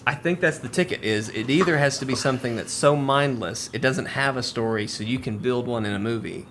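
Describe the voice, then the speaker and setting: A man's voice: mid-range voice, a little fast, a bit bright, slight background noise. A man chatting casually as a co-host on a podcast.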